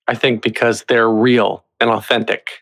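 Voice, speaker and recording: A man's voice: on the low side, flat delivery, moderate pace, vocal fry, fairly bright tone. Male podcast host, conversational and easygoing delivery. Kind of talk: conversational interview hosting.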